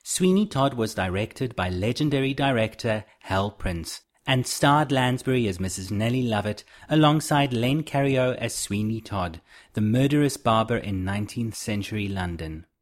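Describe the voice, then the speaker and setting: A man narrating a documentary in a measured, informative way. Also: lowish voice, lively intonation. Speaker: narrator host.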